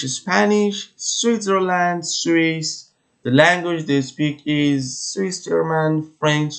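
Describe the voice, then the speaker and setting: A man teaching English vocabulary, going through a textbook slowly and repeating words so learners catch the pronunciation. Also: a little high. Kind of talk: vocabulary lesson walkthrough.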